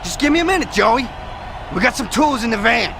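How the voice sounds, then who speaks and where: A man playing an anxious gangster crewman with a strained, creaky voice in a crime game. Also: extremely high pitch, animated, brisk, noisy background, heavily acted. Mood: hurried.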